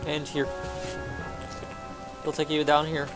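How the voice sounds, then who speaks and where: A man's voice: fairly high pitch, completely flat delivery, slow pace. A man giving a casual game walkthrough, narrating his own actions offhandedly in short phrases. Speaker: gameplay commentator. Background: game music.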